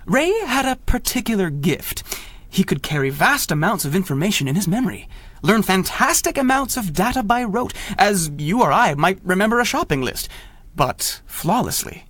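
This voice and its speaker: An actor playing an earnest, self-assured government spymaster and inventor in a radio drama, articulate and insistent. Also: male voice, high voice, very animated, normal speed, a bit bright, no room sound, full character voice. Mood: earnest, measured. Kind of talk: 1930s-style radio drama acting.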